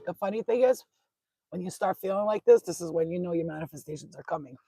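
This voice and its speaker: A woman from Albania with a husky, rough voice, talking informally as an online spiritual card reader, blunt and profane, repeating herself for emphasis. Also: on the low side, fast talker, dark tone, no echo. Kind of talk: informal, unscripted talk to followers.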